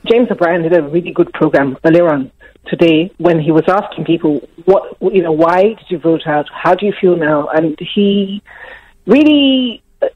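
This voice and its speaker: A middle-aged woman with a Nigerian accent calls in to a radio show. She is upset and emotional, repeating herself and restarting her sentences as she talks. Her voice comes through a muffled phone line. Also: on the low side. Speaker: caller.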